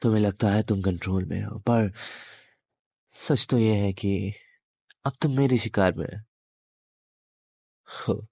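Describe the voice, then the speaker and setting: A man playing a menacing, possessive demon in an intimate audio roleplay, taunting the listener in a halting delivery with long pauses. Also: fairly low pitch, creaky, mellow, husky, no echo, heavily acted. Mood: sly, threatening. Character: menacing, possessive male demon, captive turning predator.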